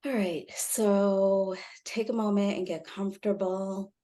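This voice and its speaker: A woman guiding a meditation in a soothing, gently instructive way, leaving long pauses. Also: mid-range voice, fairly even intonation. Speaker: meditation guide, intuitive healer. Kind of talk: guided meditation.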